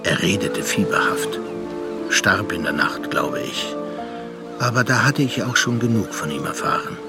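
A man's voice: fairly low pitch, lively intonation, unhurried, loud music behind the voice. An actor playing a thoughtful male narrator who recounts a friend's fate in a literary radio play. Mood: reflective, grave.

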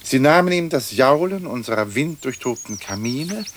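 An actor playing a haughty old British lord, a ghost speaking in ornate, pompous German radio play style. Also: male voice, medium pitch, lively intonation, moderate pace, a little hiss or hum, natural voice. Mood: reproachful. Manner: pompous, ornate aristocratic speech.

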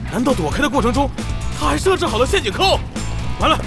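An actor playing a hot-headed, brash young gamer in an audio drama, full of exclamations. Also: male voice, extremely high pitch, sing-song, fast, over music, full character voice. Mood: shocked, frustrated.

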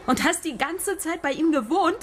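An actress playing a scheming, bitter young writer in a radio drama, intense and sarcastic, part dialogue and part inner monologue. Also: female voice, extremely high pitch, normal speed. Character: scheming, bitter young woman soap writer narrating her intrigues. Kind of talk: radio drama acting and first-person narration.

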